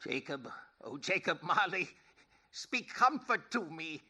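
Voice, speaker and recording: A man's voice: a little high, big pitch swings, takes it slowly, sharp tone, breathy edge, exaggerated voice. An actor playing a skeptical, miserly, easily frightened old businessman in a theatrical 1930s radio play style. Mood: terrified pleading. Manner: theatrical, querulous.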